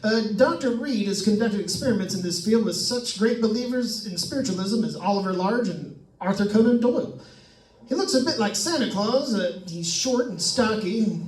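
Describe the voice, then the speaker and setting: High-voiced man playing a nervous radio ghost hunter. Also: expressive, normal speed, a little mellow. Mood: chatty, eager. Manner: stammering, announcer-like patter. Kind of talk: old-time radio drama acting. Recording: roomy, distant-miked stage recording.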